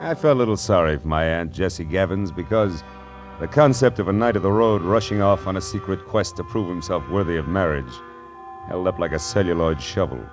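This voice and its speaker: An actor playing a sardonic, wisecracking hard-boiled private detective in a 1940s radio drama. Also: male voice, low-pitched, a little fast, soft background music, close to an everyday voice. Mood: sardonic narration. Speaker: lead actor and narrator.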